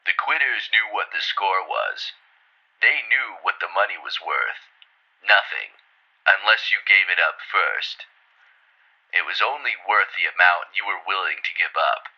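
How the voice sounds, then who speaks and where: A man performs a solemn storyteller narrating an absurd audio drama in lo-fi, radio-like sound. The voice is low and very forceful, with deadpan, declarative phrasing. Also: a little slow, heavily acted.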